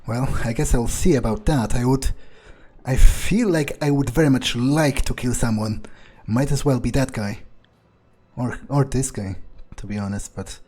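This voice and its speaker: A man improvising in character as a newly turned vampire in a game, bewildered, irritable and foul-mouthed, muttering in halting, incredulous repetitions with a husky voice. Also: mid-range voice, a little mellow, close to an everyday voice. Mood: wary, musing.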